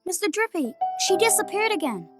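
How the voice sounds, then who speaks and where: A woman's voice: very high voice, very animated, a little fast, music in the background. A woman voicing an innocent, polite young boy hero with an American accent in a fantasy game. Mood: bewildered. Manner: naive, questioning, earnest. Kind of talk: animated fantasy game voice acting.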